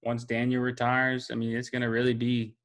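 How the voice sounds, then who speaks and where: A relaxed man, chatting casually about fights as a co-host. His pitch sits in an ordinary middle range and he talks easily, often tagging "man" on the end. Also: fairly quick. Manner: laid-back, chatty, drawls "man". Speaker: podcast co-host. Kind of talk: casual sports chat.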